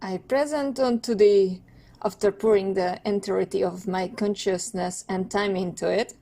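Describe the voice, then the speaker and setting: A woman's voice: medium pitch, steady tone, moderate pace, gravelly, dark tone, voice pushed down. A woman voicing a proud, wordy teenage turtle boy inventor in a cartoon comic dub, with a pompous, theatrical manner.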